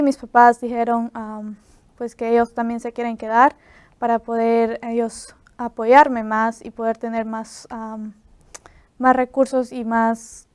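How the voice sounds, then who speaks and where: Nineteen-year-old Ecuadorian woman guest, smooth flat voice, soft, lots of fillers. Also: on the high side, no echo. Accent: Ecuadorian Spanish. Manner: soft-spoken, many "am" fillers. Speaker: student guest. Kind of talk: interview.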